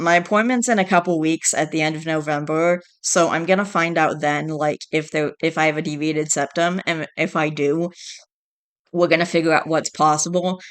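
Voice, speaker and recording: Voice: mid-range voice, a bit bright, clear, resonant tone. A host with a stuffed-up nasal voice who reads online posts aloud in a casual, rambling way, with sighs and self-corrections along the way. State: congested, stuffed-up nose.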